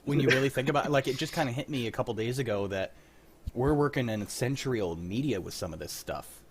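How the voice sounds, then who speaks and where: A man's voice: medium pitch, lively intonation, fast. A man hosting a casual group chat in a joking, rambling, freely swearing way. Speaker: host of a livestreamed script-reading show.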